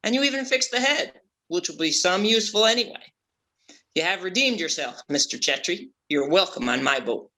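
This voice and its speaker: A woman's voice: on the low side, lively intonation, moderate pace, pushed and bright. A woman playing a formal, pompous, old-fashioned male sea captain in a theatrical table read. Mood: stern, formal.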